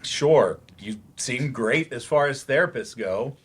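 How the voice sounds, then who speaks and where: Slow, defensive, frustrated man played by an actor. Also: medium pitch, expressive, husky. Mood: sheepish, uncertain. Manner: hesitant, grudging, defensive. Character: defensive, frustrated man in therapy, once-promising writer turned teacher. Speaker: actor in a staged reading. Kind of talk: staged play reading, naturalistic dialogue. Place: staged reading at a library.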